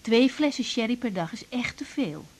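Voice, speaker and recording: A woman's voice: a little high, expressive, moderate pace, darkish tone. An actress playing a young female doctor on her first day, polite, patient and a little unsure. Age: young adult. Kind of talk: 1980s radio drama acting.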